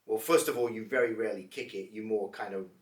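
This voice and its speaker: A Scottish man co-hosting a casual podcast, cracking dry, deadpan jokes in easygoing banter. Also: on the low side, fast, echoey room, recorded from a distance. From Scotland. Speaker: podcast co-host, shop owner.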